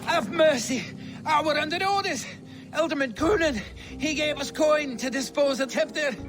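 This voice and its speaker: High-pitched cowardly sellsword. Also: male voice, moderate pace. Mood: fearful. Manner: pleading, colloquial, ungrammatical. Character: cowardly, wheedling lowlife male sellsword. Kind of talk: video game cutscene acting.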